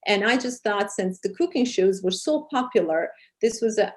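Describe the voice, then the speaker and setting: Female interview guest, Serbian accent, medium pitch, sincere, searches for phrasing as she explains. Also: a little mellow. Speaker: interview guest, program producer and charity founder. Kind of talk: interview answers.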